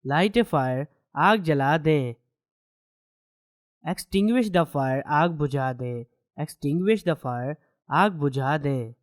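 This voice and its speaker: A man teaching English, reading each short sentence slowly twice with its translation. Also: a little high, no room sound, studio quality. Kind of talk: slow sentence drills with translations.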